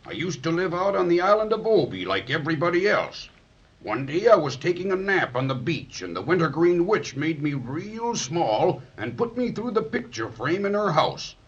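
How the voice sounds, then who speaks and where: A gentle, lonesome fairy-tale giant, folksy and reassuring in a raspy, swooping voice. It is 1930s radio serial acting on a dull old recording. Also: male voice, on the high side, heavily acted. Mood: wistful.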